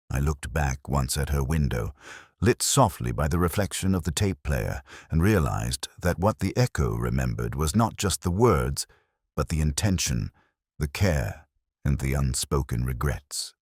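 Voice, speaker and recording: A man with an extremely low voice reads first-person detective fiction. His delivery is measured and reflective, with a lively rise and fall in pitch. The sound is dry and close, suited to literary narration. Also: husky, no room sound.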